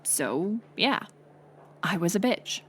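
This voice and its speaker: A woman playing a bitter, hurt college-age former friend turned bully, confessing in a halting, intimate audio drama monologue. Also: mid-range voice, big pitch swings, a little hiss or hum. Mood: guilty, bitter confession. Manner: halting confessional delivery, drifting into breathy near-whispers.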